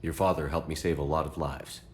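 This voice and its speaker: Deep-voiced, resolute military commander. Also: male voice, fairly even intonation, brisk. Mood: somber. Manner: firm, plain, controlled. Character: resolute, stoic military commander, heroic and direct.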